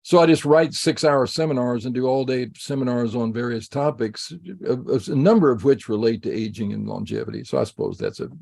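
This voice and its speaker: A man's voice: medium pitch, sing-song, fairly quick, gravelly, few pauses, darkish tone. Older male guest in his seventies, rambling, restarts a lot, heard over a video call. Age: 70s. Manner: rambling, many restarts and fillers. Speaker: psychologist guest. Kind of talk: conversational interview answers.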